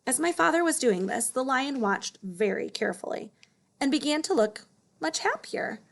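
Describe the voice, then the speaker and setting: A woman's voice: sits high, expressive, moderate pace, vocal fry, fairly bright tone. A woman narrating a children's story as a read-aloud. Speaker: storybook reader.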